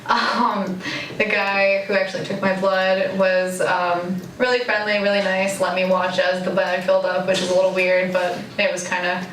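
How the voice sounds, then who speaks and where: A woman's voice: medium pitch, flat delivery, moderate pace, a bit bright. A young woman, a student, giving a casual interview account. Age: young adult. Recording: echoey, distant, faint noise.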